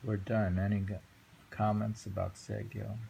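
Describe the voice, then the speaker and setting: A man's voice: fairly low pitch, little pitch movement, moderate pace, creaky, dark tone, a little hiss or hum. A man reading poems aloud and chatting casually at home, musing with hums and chuckles. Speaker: reader and host. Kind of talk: casual chat and poetry reading. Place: home in New York City on a rainy day.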